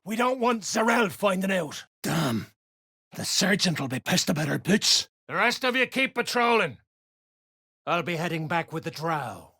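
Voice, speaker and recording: A surly underground dwarf raider from a video game, coarse and slangy. The pitch leaps from low grumbles to sharp barks. The recording is dry and close, as a hostile underling snaps out orders and complaints. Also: very animated, moderate pace, creaky, husky, no echo, heavily acted. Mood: grumbling, surly. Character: gruff, surly duergar raider guard, rough-tongued underling. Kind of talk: video game character voice lines.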